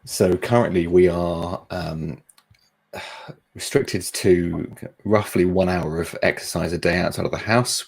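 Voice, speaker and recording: A man from England answering questions on a video call in a measured, deliberate way. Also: sits low, creaky, breathy edge. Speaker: interviewee.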